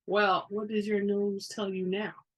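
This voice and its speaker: A woman's voice: medium pitch, level tone, a little slow, natural voice, rough recording. A woman voicing a practical, wary burglar's partner in a storybook, casual and skeptical in delivery. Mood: impatient.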